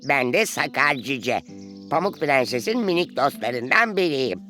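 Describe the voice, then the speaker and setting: A woman's voice: extremely low pitch, very animated, unhurried, a bit bright, exaggerated voice. A woman voicing a clumsy, friendly little male dwarf in a children's cartoon, hesitant and full of exclamations. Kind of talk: children's fairy-tale cartoon acting. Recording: music behind the voice.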